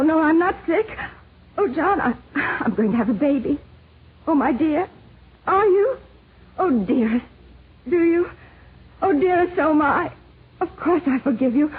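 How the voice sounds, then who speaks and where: An actress playing a naive, anxious young society wife in a 1950s radio drama, with a pleading, melodramatic delivery. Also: female voice, sits high. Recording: tinny, noisy 1950s radio recording.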